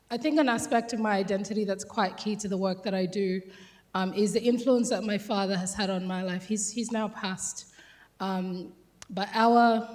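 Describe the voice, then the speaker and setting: A woman's voice: medium pitch, darkish tone. A woman from South Sudan who moved to Australia as a child, speaking informally and reflectively as a panelist at a conference, thinking aloud as she goes. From South Sudan, moved to Australia at ten. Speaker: panel speaker, gender and race researcher. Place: conference hall, on a microphone. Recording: echoey hall with long reverb.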